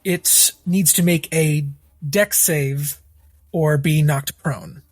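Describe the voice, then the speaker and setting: Halting male tabletop player over music. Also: a little high, dry recording. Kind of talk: describing character actions in third person. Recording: background music under the voice.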